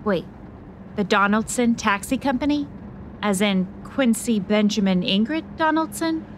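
A woman's voice: medium pitch, lively intonation, unhurried, slight background noise, close to an everyday voice. A woman playing a plucky, self-assured investigative reporter in a scripted radio drama, inquisitive and theatrical.

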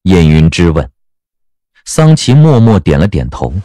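A man narrating a scripted audio drama as an expressive storyteller. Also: sits low, a little slow, dry recording, studio quality.

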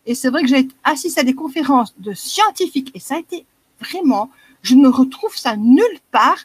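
A woman's voice: high voice, lively intonation, normal speed, breathy edge, dry recording. A woman in her sixties with a Belgian French accent, talking earnestly and rambling a bit as a caller on a live video call. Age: 60s. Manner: earnest, rambling, repeats herself. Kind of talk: casual chat on a video call.

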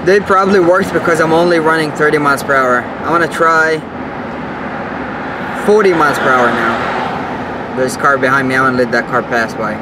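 A man's voice: fairly high pitch, moderate pace, long pauses. Young man vlogging from a moving car. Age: young adult. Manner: chatty, explaining step by step while driving. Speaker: car channel host. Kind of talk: casual vlog narration. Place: inside a car on the street. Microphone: camera mounted on the dashboard. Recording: road noise in a moving car. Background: car and road noise.

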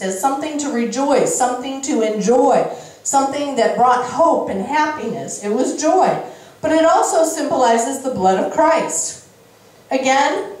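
A middle-aged woman preacher giving a sermon, explaining scripture in deliberate, emphatic short phrases into a handheld microphone on an indoor church stage. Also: high voice, a little mellow. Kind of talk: sermon, Bible reading with interjected explanation. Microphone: handheld microphone at a lectern. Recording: very echoey room, slightly distant sound.

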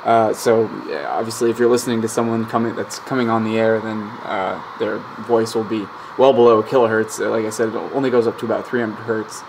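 Monotone man talking over static noise. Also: on the low side, brisk, hardly any pauses. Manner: hesitant, rambling, thinking aloud. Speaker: host of an electronics hobby channel. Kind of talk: casual off-the-cuff technical explanation. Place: indoor electronics workbench. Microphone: camera mic behind the lens. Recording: steady static noise, handheld camera mic. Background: radio static from a speaker.

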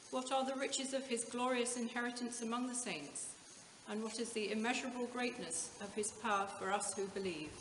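A woman's voice: a little high, fairly bright tone. Woman in her sixties, English accent, formal lectern reading, reverberant stone church with faint background noise. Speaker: scripture reader at a church service. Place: inside a stone village church. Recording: echoey stone church, audible room noise.

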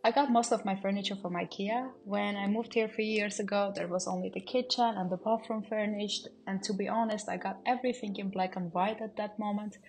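A woman's voice: medium pitch, fairly even intonation, fairly quick, few pauses, a little mellow, low-quality recording. A young woman in her mid-twenties from Armenia, chatting casually to the camera while she tidies her small apartment, a little nervous and reflective. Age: mid-20s. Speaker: vlogger. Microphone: camera on a stand, some lines away from it.

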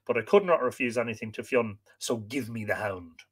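A man voicing a gruff, hot-tempered master in a storybook, commanding and blustering, grudgingly dutiful. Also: medium pitch, brisk, lightly acted. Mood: stubborn, resigned. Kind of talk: animated storybook reading.

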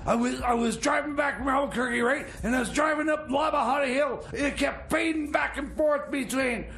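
Guy doing a really high creaky voiced hustler, stammering and pushy, comedy radio bit, bit of noise. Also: moderate pace, fairly bright tone, full character voice. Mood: excited scheming. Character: scheming excitable male grifter sidekick pitching shady schemes. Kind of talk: improvised comedy radio skit.

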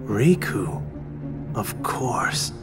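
Cold calculating schemer. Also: male voice, on the low side, sing-song, very slow, heavily acted. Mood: cool. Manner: cool, disdainful, precise. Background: ominous game music.

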